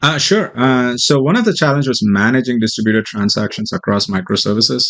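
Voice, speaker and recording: A man explaining technical material as a software developer, speaking hesitantly with frequent ums and pauses. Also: mid-range voice, expressive. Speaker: software developer presenting a demo. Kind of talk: tech tutorial walkthrough and mock interview answers.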